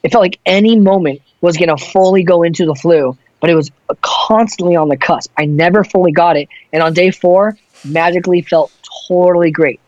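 A man's voice: high-pitched, brisk, few pauses, a little mellow, no room sound, highs cut off. A man who sings in a touring band, chatting casually in a loose, rambling way with plenty of swearing. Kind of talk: casual chat.

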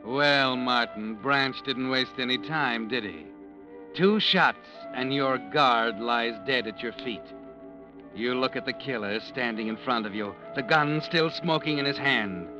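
An actor playing an ominous, sardonic storyteller, narrating a 1940s radio suspense show in the second person on an old recording. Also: male voice, medium pitch, lightly acted, low-quality recording. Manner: sardonic, deliberate, dramatic. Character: ominous, knowing male storyteller addressing a doomed man. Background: dramatic music.

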